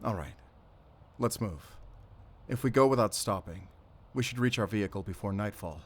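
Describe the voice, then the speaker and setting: A man's voice: lowish voice, normal speed, slight background noise, natural voice. A man playing a determined, defiant investigator on the run in a cinematic audio drama, speaking with intensity. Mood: tense, resolute. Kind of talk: cinematic audio roleplay acting.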